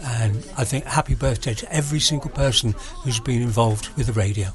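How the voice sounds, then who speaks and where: A man's voice: medium pitch, a little fast. Welsh man in his sixties, chatty. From South Wales. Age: 60s. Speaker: hospital radio volunteer guest. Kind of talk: casual radio interview. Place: busy room at a radio party. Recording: crowd chatter in the room. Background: crowd chatter.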